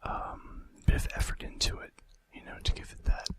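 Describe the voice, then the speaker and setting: A man whispering breathily and gently into a close microphone as an ASMR host, reading out facts with casual, hesitant asides. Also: normal speed, no echo.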